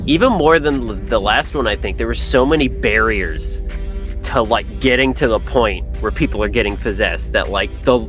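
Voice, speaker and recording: A man's voice: fairly low pitch, normal speed, a bit bright, faint music behind. A man co-hosting a podcast, talking about a movie in casual, laid-back slang with swearing. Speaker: podcast co-host. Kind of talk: casual film discussion with swearing.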